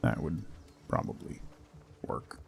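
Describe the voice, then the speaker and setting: A man's voice: takes it slowly, a little hiss or hum. A man giving casual solo commentary as a game streamer, musing and thinking aloud to himself.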